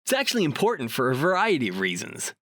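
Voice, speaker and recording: An actor playing a chatty, cocky male paranormal investigator in an audio drama, talking in a wordy, animated way. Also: fairly high pitch, fast, no room sound, studio quality, exaggerated voice. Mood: cocky, teasing. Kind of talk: audio drama dialogue acting.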